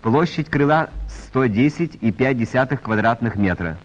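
A man's voice: mid-range voice, lively intonation. Man narrating a muffled vintage training film. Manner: formal, measured announcer delivery. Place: voice-over recorded for a film.

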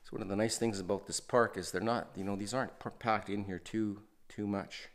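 A man with a fairly low voice gives a casual, unhurried tour of a house being renovated. He rambles, restarting sentences and filling pauses with "uh." He sounds like he's recorded on the camera's own mic inside the empty house. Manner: relaxed, rambling, many fillers and restarts. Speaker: renovation video host. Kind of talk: casual walkthrough narration.

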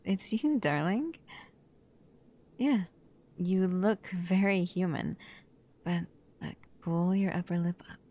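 A woman acting a protective, doting human caretaker of a vampire in an intimate, soft-spoken ASMR roleplay, often dropping toward a whisper. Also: on the low side, slow pace, long pauses. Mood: fond, reassuring. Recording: clear background noise and ambience.

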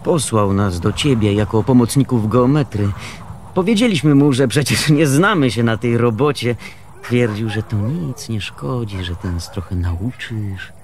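Aggrieved former assistant, faint noise. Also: male voice, mid-range voice, animated, measured pace, a little mellow. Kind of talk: radio play acting.

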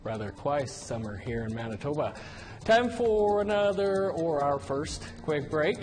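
A man presenting the news from a television studio in a lively, upbeat anchor delivery. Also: on the high side, measured pace, faint music behind.

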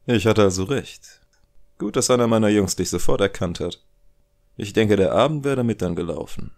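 A man's voice: lowish voice, normal speed, a little mellow, close to an everyday voice. A man playing a stern, threatening Italian mafia don and contemptuous father in an audio drama. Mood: smug menace.

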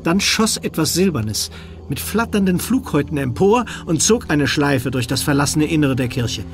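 An actor playing the solemn narrator of a children's mystery audio drama. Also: male voice, on the high side, animated, moderate pace, slight background noise. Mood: suspenseful.

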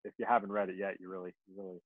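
A man's voice: low-pitched, little pitch movement, very fast, dry recording. A man in his forties hosting a podcast interview over a video call, asking friendly, rambling questions with restarts. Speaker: podcast host. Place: room with bookshelves. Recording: dry tinny phone-like call.

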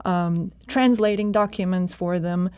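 A woman who directs a university center is interviewed on the radio. Her voice is low and mellow, and she speaks in a measured, earnest way with occasional fillers. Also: fairly even intonation, clean tone.

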